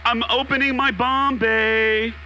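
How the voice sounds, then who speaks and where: A man's voice: very high-pitched, level tone, slow, bright, forceful tone, dull audio, a little hiss or hum, exaggerated voice. A man playing a blustering military commander in a comedic radio drama, pompous, declamatory and a little folksy. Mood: commanding. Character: blustering military field commander and bomber lieutenant.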